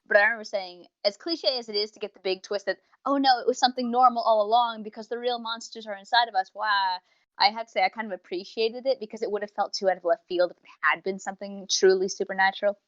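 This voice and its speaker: A woman's voice: mid-range voice, steady tone, fast, fairly bright tone, no echo, lo-fi sound. A woman co-hosting a review podcast, chatting casually and effusively, rambling off into tangents. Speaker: podcast co-host reviewing audio dramas. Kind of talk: casual unscripted review chat.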